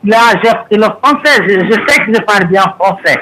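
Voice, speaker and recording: A woman whose first language is Portuguese, calling in on the phone and speaking French haltingly, with many restarts and fillers. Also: medium pitch, animated, noisy background. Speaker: caller. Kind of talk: phone conversation.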